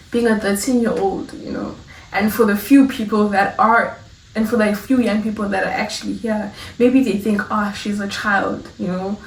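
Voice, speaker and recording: A woman's voice: on the high side, far from the mic. Chatty young woman, echoey room. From South Africa. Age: 20s. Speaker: vlogger. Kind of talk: casual chat to camera.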